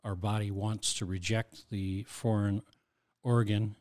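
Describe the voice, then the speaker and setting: A man in his sixties, a guest on a podcast, calmly explaining things with frequent ums. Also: on the low side, no room sound. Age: 60s. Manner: explanatory, measured, with many ums. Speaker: heart transplant recipient guest. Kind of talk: casual interview talk.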